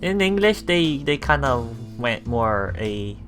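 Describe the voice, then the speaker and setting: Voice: medium pitch, sing-song, takes it slowly. A let's play host giving casual, hesitant commentary on a visual novel, reading and translating the dialogue aloud. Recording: music playing behind the voice. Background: game background music with guitar.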